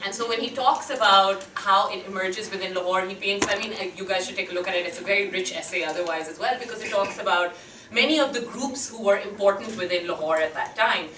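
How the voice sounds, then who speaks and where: A woman speaking at a seminar, pausing often with ums and uhs as she works through her ideas. Her voice is bright and carries without a mic, caught from across a reverberant lecture hall with a faint hiss behind it. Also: medium pitch, recorded from a distance. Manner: thoughtful, many hesitations and fillers. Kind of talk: unscripted academic talk. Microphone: no mic, picked up from a distance.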